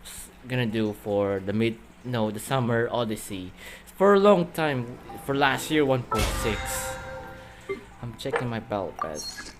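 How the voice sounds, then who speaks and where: A man hosts a gameplay video with loud music behind him. He comments casually in halting, loose phrases that often break off. His pitch sits in an ordinary middle range. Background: loud game music.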